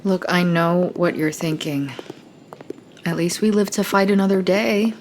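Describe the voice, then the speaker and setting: A woman's voice: on the low side, steady tone, a little slow, a little mellow, a little hiss or hum, close to an everyday voice. A woman in an audio play playing a pragmatic, conciliatory young sister with an American accent and an earnest, reassuring manner. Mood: placating.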